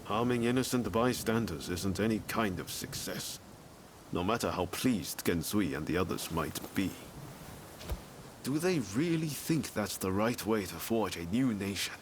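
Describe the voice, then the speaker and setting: An easygoing young samurai from a video game, principled but casual. His voice sits fairly low and his phrasing is laid-back, with some ambient noise behind it. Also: expressive, measured pace. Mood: troubled, musing. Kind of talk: video game cutscene acting.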